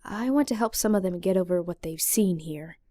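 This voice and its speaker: A woman's voice: lowish voice, lively intonation, brisk, mellow, dry recording, clean studio sound, lightly acted. A woman playing a caring but firm healer in an audio drama. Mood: concerned.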